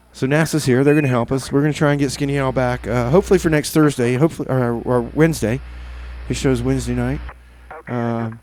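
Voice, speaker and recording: A man's voice: medium pitch, darkish tone, a little hiss or hum, rough recording. A man hosting a community radio music show, chatting casually and hesitantly between songs, with many fillers and restarts.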